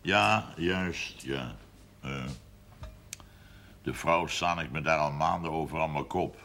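An actor playing a gruff, stubborn middle-aged farmer with a gravelly voice and a curt manner in a period television drama. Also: male voice, very deep voice, takes it slowly, sharp tone, exaggerated voice. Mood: grumbling. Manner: curt, blunt. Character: gruff, stubborn farmer and father of seven sons. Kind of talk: 1970s Dutch television period drama acting.

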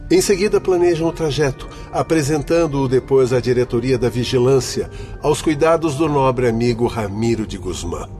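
An actor playing a learned, courteous spirit doctor in a radio drama, explaining things in formal, articulate speech. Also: male voice, mid-range voice, moderate pace, hardly any pauses, mellow, natural voice. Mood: earnest, explanatory. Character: earnest learned spirit doctor, courteous guide of a celestial institute. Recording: music behind the voice.

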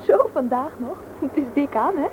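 A woman's voice: high voice, lively intonation, moderate pace, phone-quality sound, background noise. An actress playing a teasing, condescending young woman in a radio drama, with a mocking, haughty manner. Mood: mocking, fond. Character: sharp, teasing young dental assistant, condescending.

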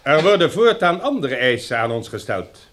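An old male academic in a radio play. His rather high voice rises and dips widely as he lectures, earnest, wordy and pedantic. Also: very animated, moderate pace, a bit bright, a little hiss or hum. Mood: emphatic, earnest. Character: elderly male sociology professor, earnest and long-winded. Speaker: actor in a radio drama. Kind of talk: radio drama acting, academic address.

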